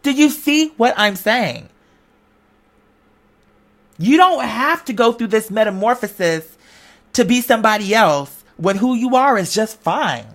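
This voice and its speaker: A woman's voice: a little high, expressive, measured pace, pauses often. A woman vlogger delivering an informal motivational monologue, conversational and slangy, with emphatic, preacher-like repetition. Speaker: online video creator giving a pep talk.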